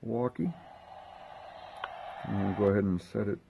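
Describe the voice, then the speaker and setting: Male demo host, low relaxed voice with fry, casual and unhurried, slight background noise. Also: phone-quality sound. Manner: unhurried, thinking aloud while handling gear. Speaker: host of a gear unboxing and testing video. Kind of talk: casual hands-on demonstration.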